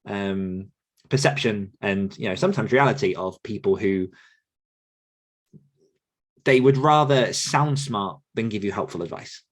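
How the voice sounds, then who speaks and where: A man's voice: on the low side, animated, measured pace, pauses often. A man hosting a casual podcast interview, talking in an encouraging, conversational way. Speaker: podcast host and writing coach.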